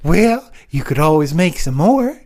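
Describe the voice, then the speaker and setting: Man acting friendly fish sidekick, chummy, unhurried, big pitch swings, western audio cartoon. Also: very animated, darkish tone.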